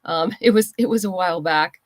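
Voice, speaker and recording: A woman's voice: on the low side, a little fast, no echo. A woman being interviewed as a writer, giving explanatory, conversational answers.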